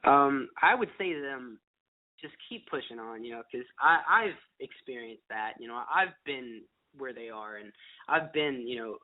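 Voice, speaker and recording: A man's voice: medium pitch, animated, normal speed. A young man giving earnest personal answers as an interview guest, often repeating and restarting his phrases. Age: teenager or young adult. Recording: narrow tinny phone line.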